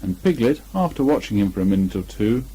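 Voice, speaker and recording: A man with a slightly husky voice reading a children's storybook aloud with expression. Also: on the low side, sing-song, fast, warm tone. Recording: muffled amateur 1980 home recording.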